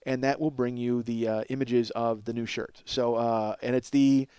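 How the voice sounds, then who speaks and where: A man's voice: medium pitch, little pitch movement, normal speed, warm tone, clean tone, no echo. A man hosting a podcast in a casual comedic monologue, rambling, cutting himself off, swearing and mocking himself. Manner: rambling, self-interrupting, profane; self-mocking. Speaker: podcast host.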